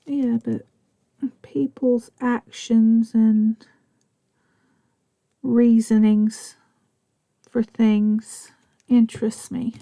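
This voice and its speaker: A middle-aged woman with a Southern US accent, chatting and rambling softly, often half-whispering, as she narrates her crafting. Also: a little high, flat delivery, very slow, vocal fry, long pauses, no echo. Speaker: crafting vlogger.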